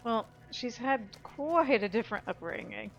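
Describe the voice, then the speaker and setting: A woman's voice: on the high side, animated, moderate pace, gravelly, highs cut off. Easygoing woman doing casual gameplay commentary. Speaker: gamer playing on a video channel. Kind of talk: casual let's-play commentary.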